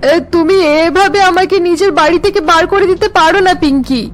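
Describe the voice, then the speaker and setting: Elderly mother-in-law, cartoon melodrama: high-pitched, plaintive, remorseful, music underneath. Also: normal speed. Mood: pleading. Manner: plaintive, pleading. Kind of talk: cartoon family melodrama acting.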